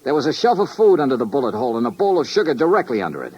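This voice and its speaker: A hard-boiled American investigator from a 1950s radio detective show. He is confident and wry, with a lively, swooping delivery and a slightly rough edge to his voice, punching out each point crisply on a vintage broadcast recording. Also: fairly high pitch, very fast, lo-fi sound, full character voice. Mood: confident, pressing. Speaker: star of a radio detective series.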